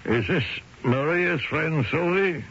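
A dull, noisy old radio recording of a proud, protective middle-aged father. His bright, forceful voice is halting and insistent, with formal turns of phrase. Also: on the high side. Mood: worried. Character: anxious, controlling immigrant father, proud and protective. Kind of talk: old-time radio drama acting.

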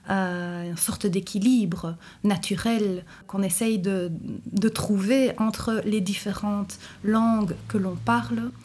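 A woman from Brussels, a university teacher speaking thoughtfully in an interview, pausing often with fillers. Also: medium pitch, darkish tone. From Brussels, Belgium. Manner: thoughtful, hesitant, many pauses and fillers. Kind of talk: reflective interview testimony.

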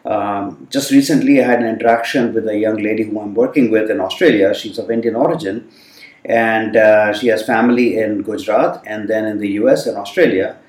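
A man whose first language is Hindi, telling stories from his childhood abroad in a relaxed, thoughtful way with frequent fillers. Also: fairly low pitch, a little fast. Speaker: guest. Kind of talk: reflective storytelling in conversation. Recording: roomy sound from a distant mic.

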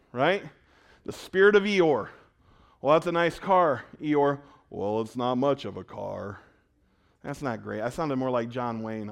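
A man preaches to his congregation in a conversational tone. His voice sits fairly high for a man. He asks the crowd questions and swings between loud, urgent lines and hushed ones. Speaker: pastor. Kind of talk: sermon.

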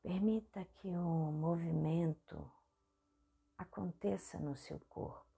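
A Brazilian woman hosting a guided meditation, speaking softly and breathily in short, soothing phrases. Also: on the low side, slow, vocal fry, slightly rough. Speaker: guided meditation podcast host.

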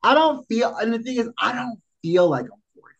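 A man in his forties co-hosting a podcast, talking in an animated, emphatic way and repeating phrases. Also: very high voice, brisk, gravelly, muffled recording. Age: 40s. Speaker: podcast co-host. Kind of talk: casual chat.

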